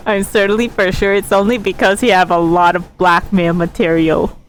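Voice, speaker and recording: A woman's voice: fairly low pitch, flat delivery, moderate pace, rough recording. A woman livestreaming a game with casual commentary, musing and thinking aloud as she reacts. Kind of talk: casual gameplay commentary, reacting to story dialogue. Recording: background game ambience and noise.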